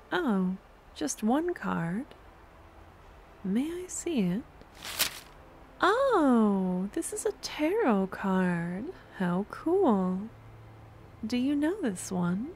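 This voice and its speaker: Very slow, whispery woman acting a sweet yandere. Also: a little high, a little hiss or hum, exaggerated voice. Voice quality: soft, often breathy and whispery. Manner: slow, cooing, gentle coaxing. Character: devoted, possessive young woman necromancer stalker, sweetly menacing. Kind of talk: ASMR audio roleplay, close and intimate.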